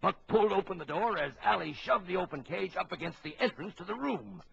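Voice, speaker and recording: A man's voice: sits high, lively intonation, a little fast, gravelly, fairly bright tone, full character voice. A man playing an authoritative ship's captain who narrates a suspenseful animal adventure for a children's story record. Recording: old lo-fi children's record, music behind.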